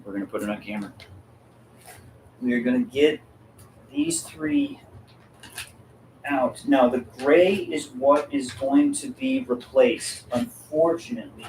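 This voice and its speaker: A man's voice: medium pitch, darkish tone, poor audio. A man hosting a casual stream, talking informally and thinking aloud. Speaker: livestream host and product maker. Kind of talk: casual chat. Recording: faint background noise.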